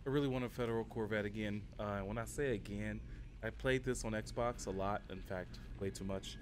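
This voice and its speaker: Low-voiced man streaming gameplay, noisy audio. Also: fairly even intonation, brisk. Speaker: game livestreamer. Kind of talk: casual gameplay commentary and walkthrough.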